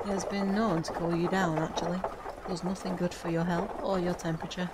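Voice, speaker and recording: A woman playing a composed witch in an audio drama, with a measured, formal way of talking. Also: fairly low pitch, flat delivery, close to an everyday voice. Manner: measured, formal, avoids contractions. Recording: faint background noise and ambience.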